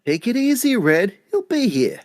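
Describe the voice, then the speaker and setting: A man playing a calm, commanding, ruthless crime boss in an old-time radio drama. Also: very high-pitched, normal speed, dry recording, clean studio sound. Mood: calm, assured. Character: smooth, ruthless male crime boss of a gang.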